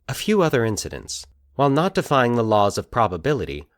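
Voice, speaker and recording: A man narrating scripted eerie fiction in a measured, deliberate, formal storytelling manner. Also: medium pitch, huge pitch swings, no room sound, studio quality.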